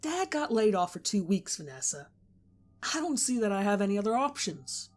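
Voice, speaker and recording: A woman's voice: mid-range voice, sing-song, moderate pace, a little mellow. A woman voicing a poor, proud teenage boy in a storybook reading, answering tersely and defiantly. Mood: angry.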